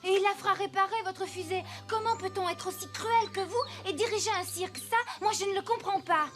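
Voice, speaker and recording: Very high-voiced, plucky cartoon child. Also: female voice, fairly quick, faint music behind. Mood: indignant, bold. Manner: bold, earnest, scolding adults without fear. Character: plucky, caring, outspoken child defending family and animals.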